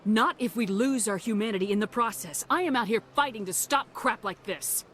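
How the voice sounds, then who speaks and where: A woman's voice: fairly high pitch, brisk, fairly bright tone, natural voice. An actress voicing a resolute, blunt woman military commander in a video game, speaking firmly. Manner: firm, assertive. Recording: cinematic music behind dialogue.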